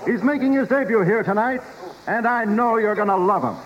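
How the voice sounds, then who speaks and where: High-voiced genial nightclub boss. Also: male voice, a little fast, a bit bright. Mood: announcing. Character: reassuring, genial male nightclub boss and emcee. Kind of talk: 1950s radio drama acting. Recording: muffled 1950s radio broadcast, music.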